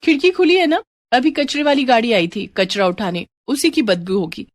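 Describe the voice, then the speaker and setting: A woman voicing a young, secretive daughter-in-law in a moral story, expressive and quick with excuses. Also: fairly high pitch, no room sound, natural voice. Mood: evasive.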